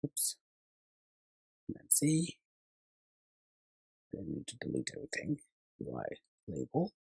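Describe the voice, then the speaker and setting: A man's voice: a little high, huge pitch swings, slow pace, gravelly, darkish tone, slightly rough, no echo, rough recording. A man narrating a step-by-step coding tutorial as its instructor, hesitantly thinking aloud as he works.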